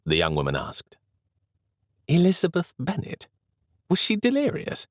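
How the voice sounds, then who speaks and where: A man narrating a period novel as an audiobook in a measured, expressive storytelling manner. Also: a little high, no room sound, clean studio sound.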